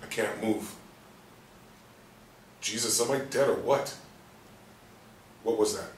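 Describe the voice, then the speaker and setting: An actor in a play reading voicing a frightened, confused paralysed man's inner thoughts in halting, anguished bursts. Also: lowish voice. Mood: panicked, confused. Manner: halting, anguished self-talk in short bursts. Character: frightened, confused man trapped in his paralysed body, sweary. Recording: roomy, distant mic, faint noise.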